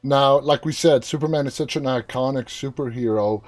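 A man's voice: mid-range voice, normal speed, darkish tone. A man hosting a live interview, asking questions in a casual, conversational style. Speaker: interview host.

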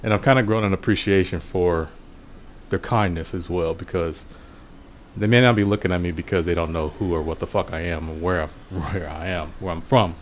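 An American man giving a casual, reflective solo monologue in an unhurried, musing way, often pausing mid-sentence. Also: deep voice, a little hiss or hum. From Portland, USA. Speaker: podcast host.

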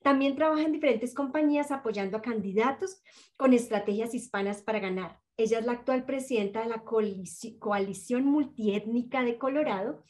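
A woman's voice: fairly high pitch, a little fast, a little mellow. A woman hosting a podcast, greeting listeners and introducing her guest in an upbeat way. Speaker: podcast host.

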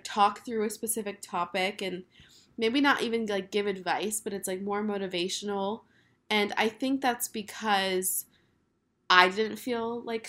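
A woman in her twenties hosting her own podcast, talking casually with lots of fillers while hoarse from a cold. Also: mid-range voice, a bit bright. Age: 20s. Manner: chatty, filler-heavy, upbeat. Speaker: podcast host. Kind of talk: casual solo podcast chat.

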